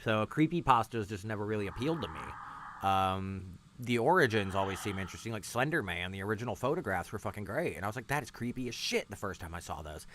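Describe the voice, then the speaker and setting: A man's voice: on the low side, expressive, fast talker, fairly bright tone, a little hiss or hum. A man hosting a livestream, giving casual, rambling reaction commentary in a blunt, sarcastic, sweary manner. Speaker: livestream host.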